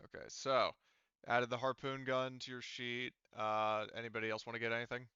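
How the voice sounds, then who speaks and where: A man speaking casually as game master at an online tabletop game, thinking aloud with halting delivery. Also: mid-range voice, completely flat delivery, sharp tone, clear, resonant tone, no echo, lo-fi sound.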